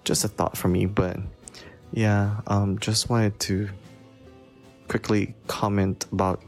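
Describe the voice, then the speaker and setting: A man's voice: on the low side, level tone, takes it slowly, a little mellow, faint music behind. A man giving a casual spoken response, hesitant and full of "um" fillers. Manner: hesitant, filler-heavy, with small laughs. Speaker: discussion participant.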